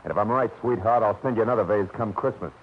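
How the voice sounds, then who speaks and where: A man's voice: lowish voice, fast talker, lightly acted. An actor playing a hard-boiled private detective with wry, clipped tough-guy narration in a 1940s radio drama. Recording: noisy, dull old radio recording.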